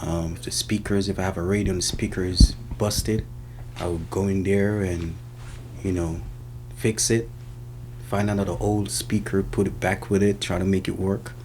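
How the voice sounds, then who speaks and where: Low voiced jamaican guy chatting in an interview, bit of hum behind him. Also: fairly even intonation, normal speed, darkish tone. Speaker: interviewee, senior car technician. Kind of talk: casual interview answers.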